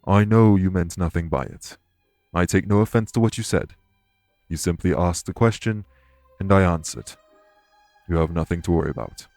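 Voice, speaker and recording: Male actor as ancient king, low-pitched, courteous, dour, measured formal speech, audio roleplay, faint background music. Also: a little mellow. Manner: formal, measured, gravely polite. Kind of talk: immersive audio roleplay acting addressed to listener.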